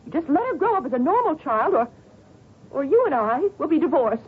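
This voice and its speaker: A woman's voice: sits high, sing-song, brisk. An actress playing a firm, embittered wife and protective mother, pointed and insistent, in radio drama style. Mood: firm, accusing. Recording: tinny radio with faint noise.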